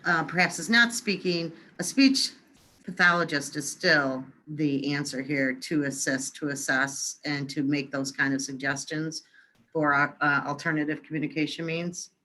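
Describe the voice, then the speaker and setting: A woman runs a webinar Q&A, gathering audience questions and rewording them for the presenter. Her voice sits low for a woman yet has a bright, forceful edge. She talks in quick bursts, rephrasing as she goes. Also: steady tone, low-quality recording. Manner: brisk, rephrases and recaps questions. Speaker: webinar moderator. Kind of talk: moderating a Q&A webinar.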